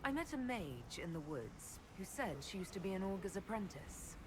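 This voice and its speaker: An actress playing a composed military leader heroine in a video game, speaking in a calm, direct, probing way. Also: female voice, mid-range voice, normal speed, noisy background, natural voice.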